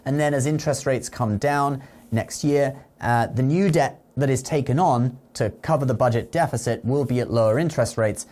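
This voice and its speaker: Man explaining finance news, steady mid-range voice. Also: medium pitch, normal speed. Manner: explanatory, checks in with "okay?" and "all right?". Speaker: finance news video host. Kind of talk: explainer commentary to camera with sponsor read.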